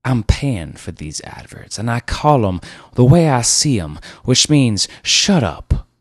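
A man voicing a boorish, overbearing heavyset car dealer who talks loudly and bossily in a drawl. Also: medium pitch, very animated, measured pace, dry recording, studio quality, pitched above the performer's own voice. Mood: bossy. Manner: loud, bossy, drawling.

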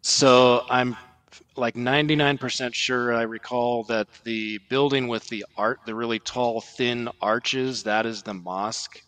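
A man's voice: mid-range voice, steady tone, measured pace, a bit bright, smooth, no echo. Male podcast guest, mid-range voice, dry sound. Earnest, explanatory, conversational religious commentary.

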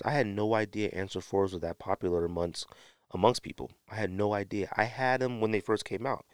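A middle-aged American man with a fairly low voice talks casually as a solo podcast host. His delivery is slangy and emphatic, circling back on his points. The sound is close and dry. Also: no echo. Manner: conversational, slangy, emphatic repetition.

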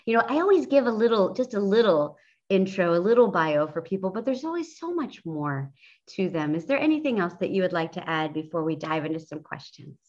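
A woman's voice: lowish voice, very animated, a little fast, darkish tone, highs cut off. Enthusiastic woman podcast host. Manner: upbeat, enthusiastic. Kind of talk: podcast interview.

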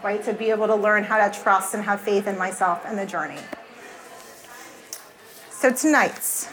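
A woman's voice: on the high side, fairly bright tone, rough recording. A woman hosting a live event interview, speaking earnestly and addressing the crowd. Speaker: host. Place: event space before a live audience.

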